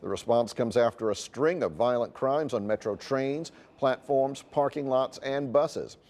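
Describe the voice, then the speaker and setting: A man reads television news in an American accent. His pitch is in the middle range, and the delivery is that of a scripted anchor. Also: measured pace, a little mellow.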